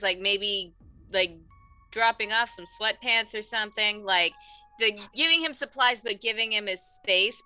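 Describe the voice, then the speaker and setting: A woman casually describing her character's actions as a tabletop roleplaying player, thinking aloud in a halting, informal way. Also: mid-range voice, sharp tone.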